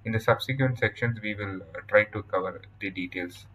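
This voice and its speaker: A man with an Indian accent presenting a software tutorial in a halting, explanatory way over slides. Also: lowish voice, husky, dull audio. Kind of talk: informal scripted-outline tutorial narration over slides.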